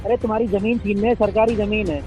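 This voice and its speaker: A man's voice: high-pitched, steady tone, fairly quick, mellow, faint music behind. A man in authority on a recorded phone call, blunt, scolding and threatening. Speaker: government official on a call. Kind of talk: argumentative phone conversation.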